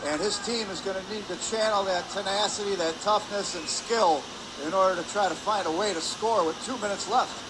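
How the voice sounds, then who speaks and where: A man's voice: high-pitched, brisk. A man giving conversational, explanatory color commentary on live hockey from an ice rink. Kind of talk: live sports analysis. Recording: clear background noise, arena ambience.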